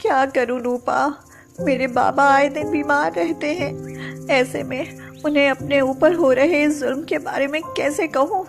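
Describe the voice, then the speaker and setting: Woman playing a high-voiced meek daughter-in-law. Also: steady tone, unhurried, over music. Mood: plaintive, suffering. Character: meek, long-suffering, dutiful young daughter-in-law. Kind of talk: melodramatic moral story voice acting.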